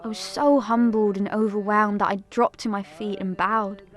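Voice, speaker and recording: A woman's voice: medium pitch, fairly even intonation, normal speed, lightly acted. A woman playing an earnest young pilgrim who narrates a family tragedy in a scripted audio drama.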